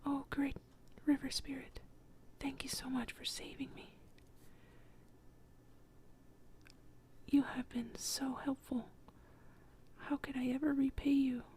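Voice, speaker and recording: A woman's voice: medium pitch, moderate pace, few pauses, mellow, natural voice. A woman whispering as a grateful young boy.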